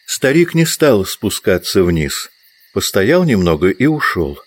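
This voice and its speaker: A man narrating a literary audio drama as a measured storyteller, reading from a script. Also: on the low side, very animated.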